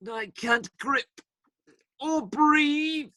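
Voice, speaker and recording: A man's voice: extremely high pitch, extremely slow, sharp tone, dry recording, exaggerated voice. A man acting in a radio play as a cheeky, teasing outlaw given to playful, theatrical banter. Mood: strained, protesting.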